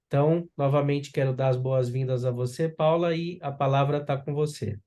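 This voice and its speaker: A man's voice: mid-range voice, steady tone, moderate pace, warm tone. A man hosting an interview, welcoming his guest with frequent filler words. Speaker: host. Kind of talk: interview introduction.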